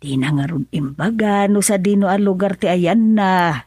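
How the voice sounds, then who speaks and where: A woman's voice: mid-range voice, moderate pace, darkish tone, dry recording, studio quality, natural voice. A woman with an Ilocano accent playing a worried middle-aged mother in a radio drama, pleading and anxious. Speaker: mother character.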